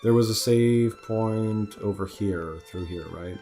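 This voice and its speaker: A man's voice: lowish voice, slow, mellow. A man giving casual, sweary, informal commentary as he hosts a video game let's play. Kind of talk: casual gameplay commentary chat. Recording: game music behind the voice. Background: video game music.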